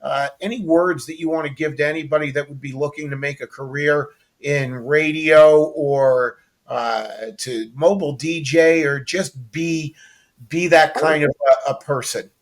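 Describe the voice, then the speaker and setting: An American man with a fairly high voice hosts a relaxed interview. He chats informally and with enthusiasm, like a colleague swapping memories. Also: a little slow. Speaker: podcast host, former radio colleague. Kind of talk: casual interview chat.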